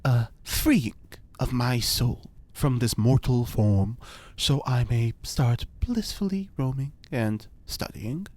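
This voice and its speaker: An actor playing a solemn, mystical spirit master in an audio drama, husky, lofty and halting. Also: mid-range voice, lively intonation, darkish tone. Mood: resigned, lofty. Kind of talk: scripted audio drama acting.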